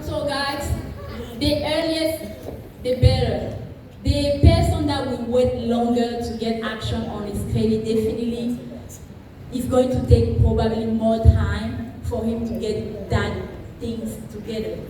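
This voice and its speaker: A woman's voice: fairly high pitch, steady tone, unhurried. A woman giving an informal seminar talk, emphatic and repeating words for stress. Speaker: guest speaker on credit and home buying. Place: large echoey indoor hall. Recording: distant mic in echoey hall, clear background noise.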